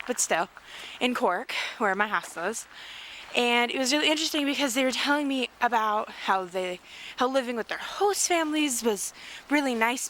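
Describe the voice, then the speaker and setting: A woman's voice: on the high side, fairly bright tone, a little hiss or hum, low-quality recording. A young American woman, a student traveling abroad, chatting casually and rambling to her sibling in a travel vlog, outdoors at a castle. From United States. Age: young adult.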